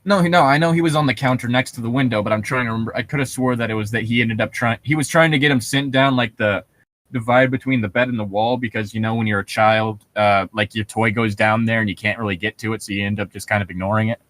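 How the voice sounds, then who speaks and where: A man co-hosting, reading internet horror stories aloud with casual commentary in a halting, self-correcting manner. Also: fairly low pitch, fairly even intonation, a bit bright.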